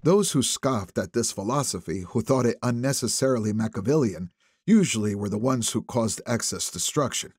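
A man's voice: medium pitch, animated, moderate pace, husky, no echo, clean studio sound. A man reading a thriller novel as scripted audiobook narration.

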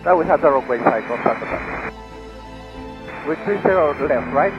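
A man's voice: on the high side, lively intonation, moderate pace. A man speaking as a pilot, giving clipped readbacks over aviation radio. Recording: muffled cockpit radio transmission, music behind. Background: background music.

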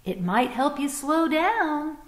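A cheerful, gentle boy bunny from a storybook, voiced by a woman. His voice sits high and bright, kind and encouraging. It rings a little in a roomy space. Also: sing-song, slow, full character voice.

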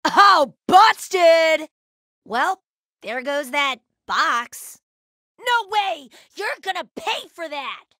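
A woman's voice: extremely high pitch, animated, pushed and bright, no room sound, clean studio sound, exaggerated voice. A woman voicing a brash, playful young female alien fighter in a video game, with a cocky, slangy, laid-back manner. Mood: exasperated. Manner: cocky, slangy, drawn-out exclamations. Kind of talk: video game character voice lines.

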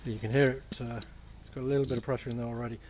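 A man casually explaining a workshop build in a relaxed, plain-spoken way, full of "uh" and "basically", filmed up close on a camera mic at a cluttered bench. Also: mid-range voice, racing, a little hiss or hum. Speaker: hobby engineer demonstrating a build.

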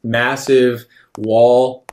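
A man's voice: flat delivery, drawn-out words, darkish tone. A man narrating a tabletop game as its game master. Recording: noisy, rumbling background.

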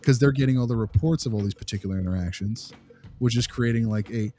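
A man's voice: mid-range voice, fast talker, dark tone, soft background music. A man talking through his opinions in a casual podcast discussion, repeating phrases for emphasis. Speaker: podcast co-host, behavior analyst.

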